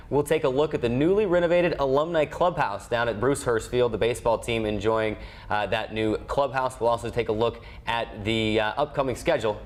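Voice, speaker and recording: Low-voiced male sports show host. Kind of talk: broadcast hosting and interviewing.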